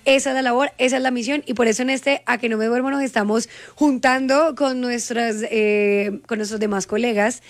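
A woman's voice: fairly high pitch, fairly even intonation, a little fast, few pauses. Chatty Colombian woman radio host. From Colombia, Cali. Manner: warm, chatty, teasing. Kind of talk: casual radio chat.

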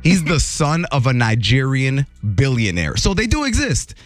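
A man hosting a morning radio show, telling entertainment news in a jokey, animated way. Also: moderate pace, no echo, faint music behind. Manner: animated, jokey, voice jumps high for emphasis.

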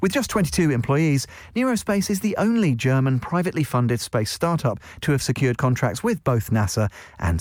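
A man hosting a radio show, reading a scripted introduction in a smooth, measured way. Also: a little high, darkish tone, no echo, clean studio sound.

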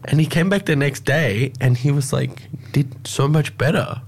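An Australian man hosting a radio show, chatting in a relaxed, storytelling way with plenty of fillers. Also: medium pitch, moderate pace, gravelly, slight background noise. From Alice Springs, Australia. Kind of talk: casual radio chat.

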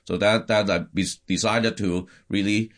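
A middle-aged pastor from Hong Kong, telling his life story in a casual interview, halting with many restarts. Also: fairly low pitch, fairly even intonation. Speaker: pastor and podcast guest.

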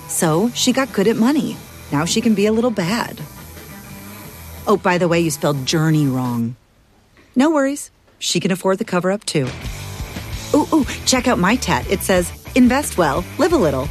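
A woman's voice: on the low side, sing-song, moderate pace. A woman reading a playful scripted commercial in a cheeky, conversational manner. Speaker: commercial narrator. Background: faint music.

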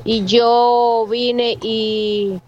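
Female caller, a bit high, frank and earthy, dull lo-fi voice note. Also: flat delivery, very slow, darkish tone. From Mexico. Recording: dull lo-fi voice message.

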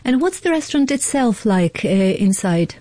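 A female radio presenter with a soft, mellow tone and a slightly husky edge. She introduces features and puts questions to guests in the measured manner of a magazine programme host. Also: mid-range voice, sing-song, no room sound. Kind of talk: scripted radio introduction and interview.

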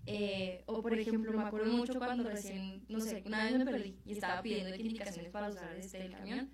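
Young woman, fast-talking, chatty with fillers, echoey room away from mic. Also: medium pitch, steady tone, far from the mic, crowd noise. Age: young adult. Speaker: student guest. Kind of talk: radio interview.

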